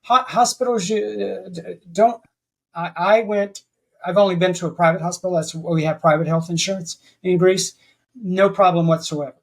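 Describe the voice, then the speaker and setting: Rambling man with a higher voice. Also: fairly even intonation, moderate pace. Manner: rambling, with many restarts. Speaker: podcast guest. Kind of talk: casual interview chat.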